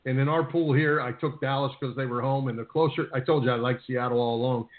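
A man's voice: mid-range voice, steady tone, fast. An American man hosting a casual sports talk show, conversational and a bit rambling as he repeats words. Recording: roomy, phone-like narrowband radio line.

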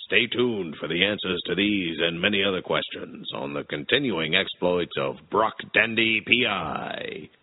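A man's voice: sits low, a little slow, phone-quality sound, slight background noise. A man playing a pompous, mock-dramatic announcer in an old-time radio serial, with a theatrical delivery. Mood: mock suspense. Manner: theatrical, tongue-in-cheek grandiose delivery. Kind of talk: old radio drama narration and sponsor spot.

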